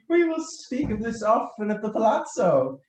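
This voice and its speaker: A woman acting a drunken, trusting nobleman in a radio play, stammering and halting, with coughs and weak laughs breaking up the words. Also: high voice, fairly bright tone, exaggerated voice. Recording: roomy, echoing sound.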